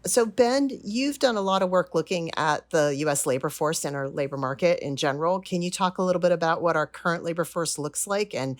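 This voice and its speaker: A woman with a hoarse voice hosting a podcast and asking informal interview questions. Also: on the low side, fairly quick, hardly any pauses, no room sound. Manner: informal, restarts her questions. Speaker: podcast host.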